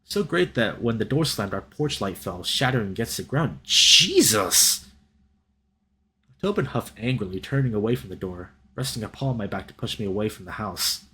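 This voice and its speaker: A man reading a visual novel aloud as a let's play host, in an expressive, dramatic storytelling style with casual reactions. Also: lowish voice, moderate pace, poor audio. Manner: animated, dramatic reader.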